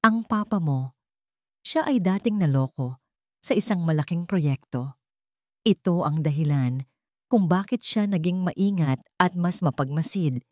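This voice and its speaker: A woman's voice: lowish voice, a little mellow, no room sound, clean studio sound, natural voice. A woman voicing a grave old male lawyer.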